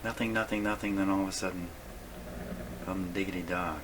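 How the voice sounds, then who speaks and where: A man's voice: sits low, steady tone, fairly quick. A man thinking aloud in short, musing fragments as he gives a casual hands-on demonstration as a repair hobbyist. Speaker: vintage radio repair hobbyist and video host. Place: indoor radio workshop. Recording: loud background hum and radio noise.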